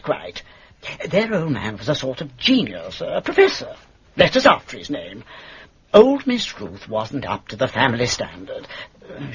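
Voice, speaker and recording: A man playing an excitable, gossipy English lodger in a radio drama, with a dramatic, chummy way of talking. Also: on the high side, husky, exaggerated voice. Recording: dull lo-fi radio drama recording.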